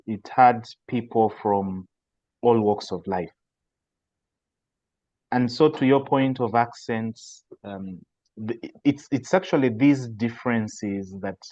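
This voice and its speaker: A Ugandan man with a lowish voice speaks earnestly on an online call, often restarting as he thinks. The sound is dry and dull, with no room echo. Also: a little mellow. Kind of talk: casual reflective talk.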